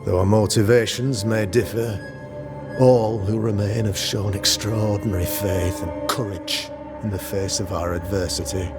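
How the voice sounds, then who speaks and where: Man playing a pompous, low-voiced colonial governor. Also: steady tone, close to an everyday voice. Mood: earnest, grave. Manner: formal, measured, grandiloquent archaic phrasing. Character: pompous colonial town governor, older man, solemn and self-regarding. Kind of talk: dramatic video game acting. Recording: music under the voice.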